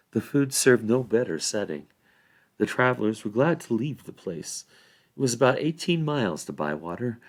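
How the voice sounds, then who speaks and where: A man reading a novel aloud as expressive bedtime storybook narration. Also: fairly low pitch. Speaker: volunteer story reader.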